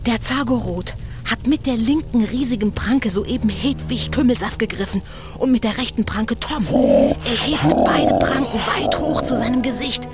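A woman playing an excitable eyewitness narrator in a children's spooky audio drama, telling it as suspenseful live commentary. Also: a little high, moderate pace, music in the background. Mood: alarmed, excited. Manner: suspenseful, shifting from whispers to cries. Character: excitable, fearful female eyewitness narrator of a ghost battle.

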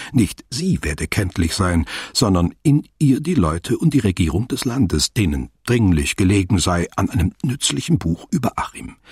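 Deep husky guy narrator for a literary radio drama, measured n formal, close dry booth sound. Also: no room sound, full character voice. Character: sober, deliberate male narrator of a literary story.

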